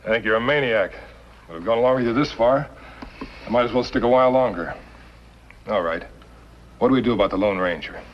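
A man's voice: medium pitch, fairly quick, pauses often, lightly acted. A man in his thirties playing a blunt, skeptical cowboy outlaw in a 1950s TV western, with a sardonic delivery. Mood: scornful. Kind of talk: 1950s television western acting. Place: small wooden cabin set, indoors. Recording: muffled, noisy old 1950s TV soundtrack.

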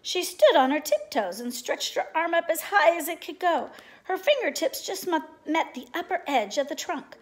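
A middle-aged woman reading a children's storybook aloud in an expressive, deliberate way. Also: very high-pitched. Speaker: storybook reader. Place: indoors, living room at home.

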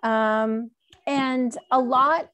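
A woman's voice: high-pitched, steady tone, drawn-out words, fairly bright tone. A woman giving a conversational academic talk over a video call, in an informal and frank way. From Alberta, Canada. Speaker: keynote speaker, law professor. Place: indoor room at home, on a video call. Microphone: computer mic on a video call.

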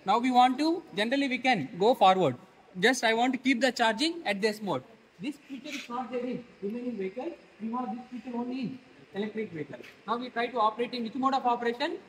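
A man with an Indian English accent teaches a class in a large, roomy workshop hall, talking at a brisk pace. He keeps putting questions to his students as he demonstrates. Faint background noise runs under his voice. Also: very high voice, fairly bright tone. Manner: quizzes students with rhetorical questions. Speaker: instructor.

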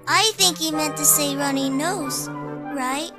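Cutesy little boy , played by a woman: tiny squeaky voice, babyish, unhurried, loud music underneath. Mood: cute alarm. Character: tiny cutesy childlike boy, sweet and excitable. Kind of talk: fan manga dub acting.

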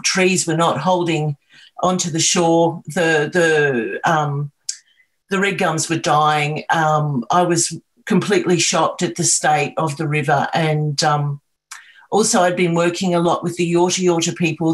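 Hesitant Australian woman on a video call. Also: deep voice. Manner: hesitant, many ums and restarts. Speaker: video artist. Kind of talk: artist talk on a video call, sharing screen.

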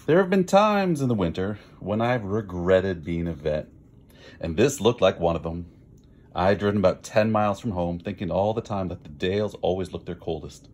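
A man's voice: sits low, animated, moderate pace, a little mellow. A man reads a picture book aloud in a theatrical way, drawing out words for emphasis. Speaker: storybook reader.

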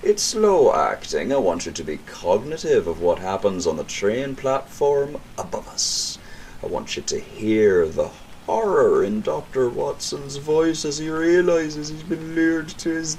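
An actor playing a sinister criminal mastermind in an audio drama, taunting a captive in formal, theatrical language. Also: male voice, medium pitch, a little mellow, slight background noise, low-quality recording.

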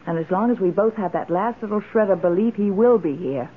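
An actress playing a weary, tart older widow in an old-time radio drama, dry and resolute. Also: female voice, medium pitch, brisk, slight background noise, natural voice. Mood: confiding, resolute. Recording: muffled old radio broadcast.

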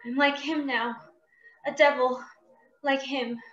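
A woman's voice: sits high, flat delivery, slow, fairly bright tone, full character voice. A woman playing a distressed, genteel young lady in a radio play, earnest and dramatic, in an echoey, muffled recording. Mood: despairing. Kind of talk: theatrical radio play acting.

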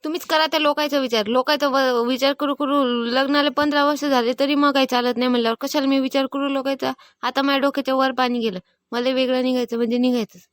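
A fed-up village daughter-in-law in a cartoon comedy, played by a woman. Her high voice is insistent and defiant, with a rural Maharashtrian accent and a close, dry sound. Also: fairly even intonation, fast, few pauses, dry recording, close to an everyday voice. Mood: fed-up, argumentative. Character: stubborn, fed-up village daughter-in-law, married fifteen years. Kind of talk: animated family comedy acting.

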